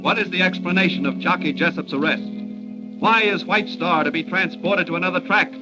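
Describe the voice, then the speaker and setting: Man as high-voiced dramatic serial announcer. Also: fairly quick, natural voice, poor audio. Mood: suspenseful. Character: dramatic serial announcer posing cliffhanger questions. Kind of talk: 1940s radio serial narration. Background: music.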